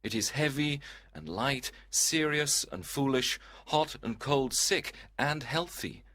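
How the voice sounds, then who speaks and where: Male actor lovelorn young nobleman, storybook drama, melancholy, mid-pitched, unhurried, theatrical. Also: animated, pushed and bright. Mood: mournful. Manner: mournful, dramatic, slow. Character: melancholy, lovesick young nobleman pining hopelessly.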